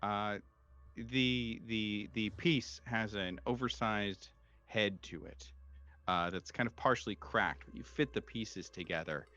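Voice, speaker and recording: Man, very low pitch, game master, improvised horror narration, hesitant phrasing, music in background. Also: takes it slowly, sharp tone, muffled recording. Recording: music behind the voice.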